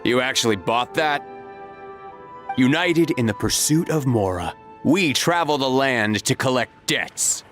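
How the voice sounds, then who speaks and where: An actor playing a haughty, money-minded mercenary debt collector in a video game, speaking in declamations. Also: male voice, medium pitch, animated, unhurried, a bit bright, soft background music. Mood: haughty, confident.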